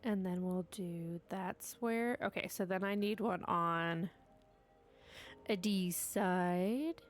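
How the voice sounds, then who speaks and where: A woman's voice: lowish voice, slow, faint music behind. A young woman streaming a game, stuffy and sniffly from allergies, chatting to herself and her dog as she builds. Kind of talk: casual gameplay commentary.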